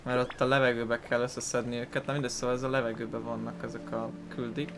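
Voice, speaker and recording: A man's voice: mid-range voice, little pitch movement, fast, background noise. A man with a Hungarian accent giving casual, rambling let's play commentary, thinking aloud as he plays. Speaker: gaming YouTuber.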